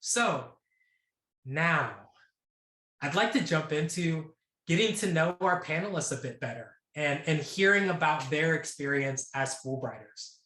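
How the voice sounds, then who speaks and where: Brief casual male panel moderator on a video call, slightly high voice, echoey room. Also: animated, unhurried, sharp tone. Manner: casual, with many fillers and restarts.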